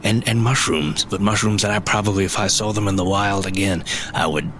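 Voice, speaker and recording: An actor playing a shaken woodland tracker in a fantasy audio drama, telling of a monster in halting, dramatic phrases. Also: male voice, fairly low pitch, fairly bright tone, slight background noise, close to an everyday voice. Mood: haunted, awed. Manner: halting, dramatic storyteller, often pausing and repeating.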